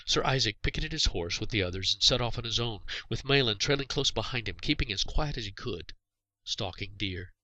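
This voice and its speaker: Man, lowish voice, bright and forceful, dramatic storybook narration, dry sound. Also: animated, brisk, no echo, rough recording. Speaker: author reading own story.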